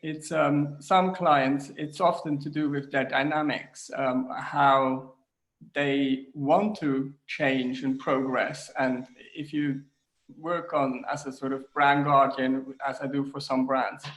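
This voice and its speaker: Man from Switzerland, English speaker, halting speech full of ums, home kitchen, video call. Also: medium pitch, little pitch movement, a bit bright, rough recording. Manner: hesitant, many fillers and restarts. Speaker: designer, interview guest. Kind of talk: casual video-call interview.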